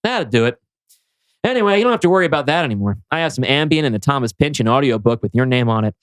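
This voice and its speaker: A man's voice: medium pitch, lively intonation, fast, no room sound, clean studio sound, lightly acted. A man reading the part of a breezy, slangy buddy in a science-fiction script, with a flippant manner. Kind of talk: script table read.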